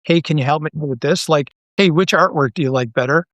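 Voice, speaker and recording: Guy on a podcast, voice a bit high, casual and self-deprecating, doubles up words, very dry sound. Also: brisk, a bit bright, no echo, highs cut off. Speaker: interview guest, audio drama creator.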